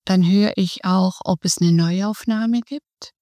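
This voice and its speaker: A middle-aged woman, a guest on a podcast, calmly explaining her volunteer work in measured sentences. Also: mid-range voice, no room sound, studio quality. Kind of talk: podcast interview.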